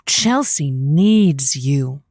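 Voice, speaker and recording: A woman plays a feisty late-night radio host with a lowish voice, close and dry. She teases callers and delivers her radio patter with comic cheek. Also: expressive, drawn-out words, a little mellow, no room sound, heavily acted. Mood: coaxing. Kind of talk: comedic radio host banter.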